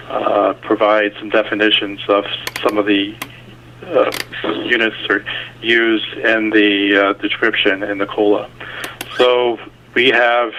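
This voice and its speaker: A man giving a halting, hesitant technical report as a company representative on a conference call. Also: lowish voice, sharp tone. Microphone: conference call line. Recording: dull conference line with faint noise.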